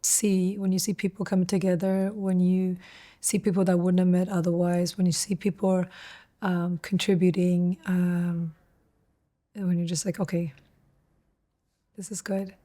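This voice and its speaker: A woman's voice: fairly low pitch, level tone, a little slow, pauses often, warm tone. A middle-aged woman who grew up in Sweden, talking earnestly and reflectively in an interview, explaining her work. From Stockholm, Sweden; born in Eritrea. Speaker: founder of a community food nonprofit, interviewee.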